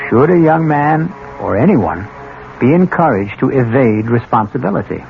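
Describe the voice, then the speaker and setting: A man hosting and narrating vintage radio suspense in a formal, measured, portentous manner. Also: fairly low pitch, gravelly, a little hiss or hum. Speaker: drama host and narrator. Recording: dull old radio broadcast with music.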